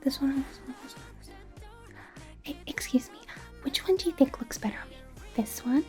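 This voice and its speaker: A woman playing a bubbly young female pop idol acting as a personal stylist in a softly whispered, informal, rambling ASMR roleplay. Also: high-pitched, animated, a little fast, faint music behind. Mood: playful, incredulous. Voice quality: soft, breathy whisper. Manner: hesitant, rambling, informal, earnest.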